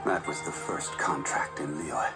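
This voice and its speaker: An actor voicing a solemn, stately legendary warrior god in a game trailer, with a grave, measured delivery. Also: male voice, extremely low pitch, fairly even intonation. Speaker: game trailer narrator and character. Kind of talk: solemn storytelling narration. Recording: played trailer under loud music.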